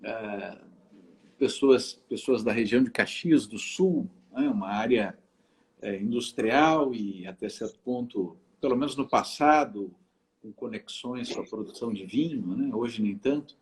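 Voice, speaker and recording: A man's voice: medium pitch, unhurried, darkish tone, poor audio. A middle-aged man from southern Brazil, a lawyer and lecturer, talking as an interview guest with frequent hesitations and restarts. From Rio Grande do Sul, Brazil. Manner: hesitant, many filler sounds and restarts. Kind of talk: casual conversational interview.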